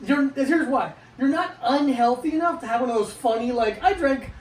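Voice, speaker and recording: A man co-hosting a comedy podcast, delivering crude jokes in a flat, dry way. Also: very high-pitched, normal speed, reverberant, far from the mic, poor audio. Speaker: podcast co-host.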